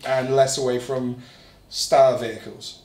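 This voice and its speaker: A man in his thirties co-hosting a film vlog, chatting casually in an animated, rambling way. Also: medium pitch, a little slow. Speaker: film discussion vlog co-host. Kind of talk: casual chat. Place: small home office, indoors. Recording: roomy, distant mic, background noise.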